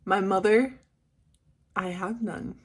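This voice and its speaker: A young woman of about twenty speaks in a medium-pitched voice, as in a personal vlog. She is from the Caribbean and talks in a casual, candid way, wandering between thoughts and adding emphasis as she goes. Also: takes it slowly, husky. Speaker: vlogger.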